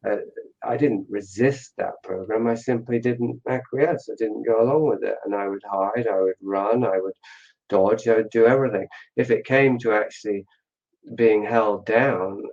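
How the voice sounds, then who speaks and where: A man with a somewhat low voice on slightly dull, lo-fi audio. He answers reflectively as an interview guest, choosing his words with care and often restarting to refine a point. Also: darkish tone. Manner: deliberate, self-correcting, weighing his words.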